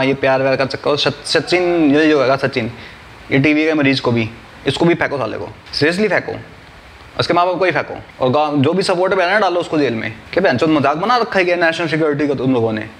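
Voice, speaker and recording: A man's voice: on the high side, brisk, slight background noise. A man in his thirties reacting to a clip in a blunt, heated way, swearing freely, recorded on a lapel mic in a small room. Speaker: reaction video host. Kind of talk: casual reaction commentary.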